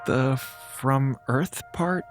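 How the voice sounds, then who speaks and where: A man's voice: medium pitch, very slow, faint music behind. An actor playing a laid-back, wisecracking male communications officer in a space audio drama, talking colloquially and hesitantly. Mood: sheepish.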